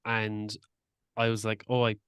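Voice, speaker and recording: A man's voice: lowish voice, monotone, unhurried, fairly bright tone, clear, resonant tone, dry recording, studio quality. A man hosting a podcast, speaking openly and personally as he shares his own experiences at length. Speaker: podcast host. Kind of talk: casual interview talk.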